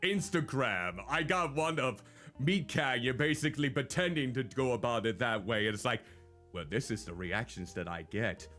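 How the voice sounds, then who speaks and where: A chatty male streamer whose voice is naturally loud and carries at a mid pitch. He rambles on in a relaxed, self-deprecating way, with soft music faintly behind him. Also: normal speed. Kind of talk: casual stream chat.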